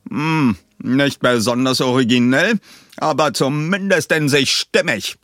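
An irascible professor in his eighties, acted out by a male narrator, snaps and barks abruptly. The voice is pushed up high and loud, as a character in an audiobook mystery. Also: measured pace, no room sound, lightly acted. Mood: brusque. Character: cranky, erratic old professor in his eighties.

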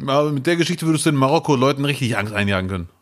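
A man co-hosting a podcast, joking and reacting quickly with exclamations in casual chat. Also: mid-range voice, lively intonation. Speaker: podcast co-host.

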